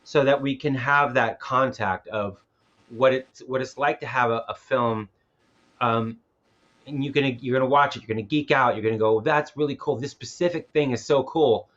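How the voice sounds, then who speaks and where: A man's voice: medium pitch, moderate pace, a bit bright, muffled recording. A man speaking casually as a filmmaker and festival organizer on a panel, rambling and often repeating words as he goes.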